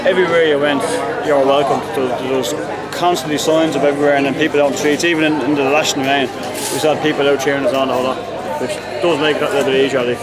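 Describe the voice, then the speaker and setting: Quick, chatty Irish male racer, a little high-voiced, recorded over a loud crowd. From Ireland. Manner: chatty, uses "like" and "you know". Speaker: race participant. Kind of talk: street interview.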